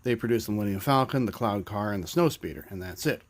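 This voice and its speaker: A man's voice: on the low side, quick pace. A middle-aged man with a stuffy, congested voice, casually showing his collection and rambling with fillers and restarts. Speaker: toy collector presenting. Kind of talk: casual show-and-tell.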